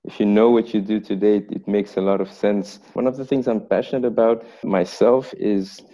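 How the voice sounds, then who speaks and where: A man's voice: fairly low pitch, fairly even intonation, moderate pace, no echo. A male podcast host introducing a guest and asking earnest, encouraging questions. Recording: dry, mellow, slightly distant mic.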